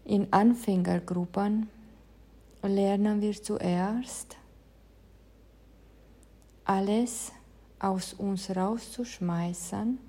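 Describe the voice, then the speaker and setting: A woman leads a meditation talk in a slow, unhurried delivery. Her voice is mellow and soft-edged, and her pitch stays level and even. She picks her words with the care of a non-native speaker. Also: slightly rough. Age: adult, past her teens. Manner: careful, measured speech of a non-native speaker. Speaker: meditation teacher. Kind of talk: calm spoken introduction to meditation.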